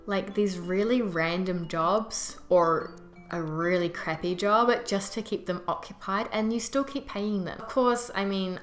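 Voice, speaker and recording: A woman's voice: lowish voice, fairly bright tone. Casting: young New Zealand woman, chatty expat vlogger, emphatic delivery, roomy sound, music underneath. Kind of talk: casual to-camera vlog chat. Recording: roomy echo with music behind. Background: background music.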